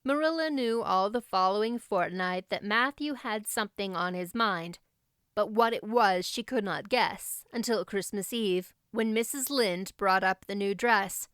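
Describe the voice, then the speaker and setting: A woman reading a storybook as an audiobook narrator, in an expressive, measured storytelling manner. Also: mid-range voice, clear, resonant tone, dry recording, clean studio sound.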